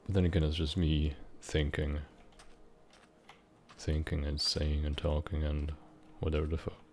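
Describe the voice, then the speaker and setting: A young man of about nineteen from Switzerland, rambling unscripted in a halting, meandering way while he plays a game, with casual swearing. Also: very deep voice, flat delivery, a little mellow, slight background noise. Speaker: gaming vlogger.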